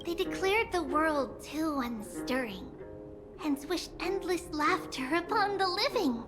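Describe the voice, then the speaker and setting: A graceful female storyteller from a fantasy game. Her voice sits high and she speaks slowly, with a lyrical, theatrical poise. Prominent music plays behind her. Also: expressive, exaggerated voice. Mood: solemn, lyrical. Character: graceful woman storyteller reciting an ancient myth. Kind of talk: poetic recitation in a fantasy video game.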